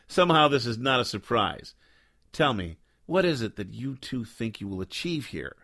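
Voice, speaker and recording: An actor playing a sardonic, deadpan noir detective who both narrates and talks in a radio drama. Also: male voice, mid-range voice, a little slow, exaggerated voice. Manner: sardonic, deadpan, world-weary. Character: cynical wisecracking hardboiled male noir detective, Joe Smoke.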